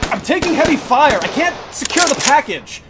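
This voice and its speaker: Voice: high-pitched, sing-song, normal speed. An actor playing an embattled security officer in an action audio drama, urgent and barking out reports. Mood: desperate. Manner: urgent, barked radio-report phrasing. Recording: noisy gunfire and vehicle ambience.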